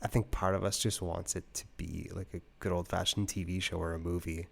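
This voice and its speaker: A man's voice: sits low, little pitch movement, brisk, vocal fry. A man hosting a podcast, chatting casually and thinking aloud in a conversational way. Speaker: podcast host and interviewer. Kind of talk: casual review chat.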